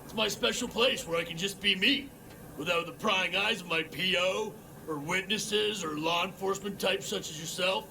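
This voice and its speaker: A man's voice: high-pitched, moderate pace, creaky, bright, forceful tone, slight background noise, full character voice, low-quality recording. A man playing a goofy, reckless pyromaniac slacker in a video game, rambling on in chummy slang. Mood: gleeful, rambling. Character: goofy, reckless male pyromaniac redneck slacker with grandiose talk. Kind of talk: comic game cutscene monologue.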